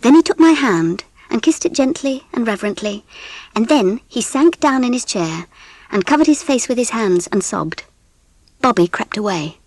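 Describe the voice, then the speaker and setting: A woman playing a gentle English mother, narrating a dramatised children's audiobook expressively. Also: a little high, normal speed, dry recording. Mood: tender. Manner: expressive storytelling.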